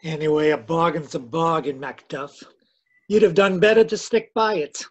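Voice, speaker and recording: Calculating male killer, played by an actor, high husky voice, smug menace, old-time radio crime style. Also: normal speed, lo-fi sound, close to an everyday voice. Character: cold, calculating male murderer, smugly menacing. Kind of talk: 1940s radio crime drama acting.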